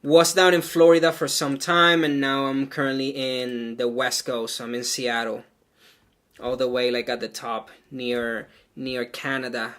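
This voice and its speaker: A man's voice: medium pitch, level tone, a little slow, a bit bright. A man from Venezuela, a native Spanish speaker, chatting casually with viewers as he codes live, thinking aloud and filling his talk with "like" and "um". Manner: chatty and loose, full of "like" and "um", thinks aloud while coding. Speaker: live coding streamer.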